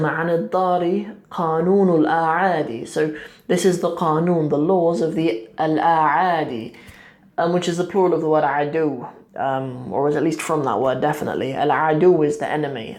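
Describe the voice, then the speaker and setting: Young man explaining poetry, halting. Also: a little high, steady tone, a little mellow. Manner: thinking aloud, many ums and restarts. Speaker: Arabic teacher. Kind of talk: explaining a poem line by line. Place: small room indoors, seated at a desk.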